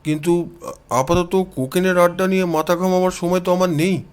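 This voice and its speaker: A man's voice: sits high, fairly even intonation, brisk, clean tone, close to an everyday voice. A man voicing a skeptical, impatient police officer with a formal, questioning manner in an audio storybook. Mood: doubtful.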